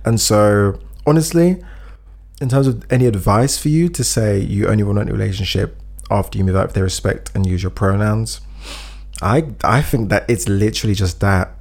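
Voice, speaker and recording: A man's voice: on the low side, normal speed, gravelly, darkish tone. A man co-hosting a casual chat, giving earnest advice and acting out example speeches, a bit phlegmy. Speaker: podcast co-host giving advice.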